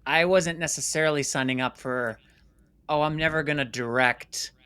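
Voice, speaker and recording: A man in his late thirties speaks as an interview guest with a fairly high-set voice. He tells things emphatically and conversationally, slipping into imagined dialogue as he goes. The sound is dry, with very little room in it. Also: normal speed, bright, forceful tone, smooth, dry recording. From New York City, United States. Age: late 30s. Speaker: interview guest, filmmaker and company founder. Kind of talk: animated conversational storytelling.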